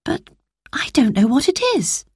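A woman voicing a thoughtful, sensitive young girl, the eldest sister in a storybook reading, with a soft, wistful manner. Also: a little high, very animated, unhurried, breathy edge, dry recording, clean studio sound, voice pushed up, exaggerated voice. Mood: puzzled.

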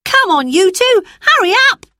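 A woman voicing an eager, bossy young boy in a storybook reading, with a brisk manner. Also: on the high side, lively intonation, a bit bright, no room sound, clean studio sound, voice pushed up. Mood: urgent.

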